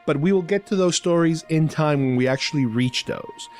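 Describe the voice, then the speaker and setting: A man's voice: fairly high pitch, normal speed, a little mellow, soft background music. A middle-aged man hosting a podcast, talking casually and explaining things like an enthusiastic fan. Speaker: podcast host.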